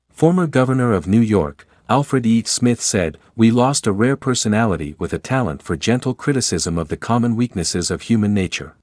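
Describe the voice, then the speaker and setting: A man's voice: on the low side, a little mellow, no echo, clean studio sound. A man reading a scripted local history narration as a documentary narrator.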